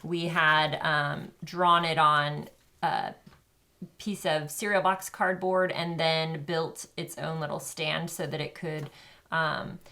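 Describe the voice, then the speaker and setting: A woman co-hosting a children's show, explaining crafts casually in a gentle, instructive manner. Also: sits low, fairly even intonation, slow, creaky.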